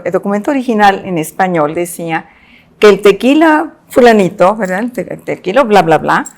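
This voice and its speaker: A woman interpreter speaking on a panel discussion. She is a lively storyteller who acts out the lines of the people in her stories. Her voice sits at a middling pitch.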